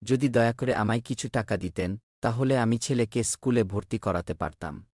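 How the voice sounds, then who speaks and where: A man's voice: medium pitch, moderate pace, a little mellow, no echo, studio quality, natural voice. A man playing a humble, troubled poor village father in a cartoon, meek and apologetic. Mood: humbly pleading.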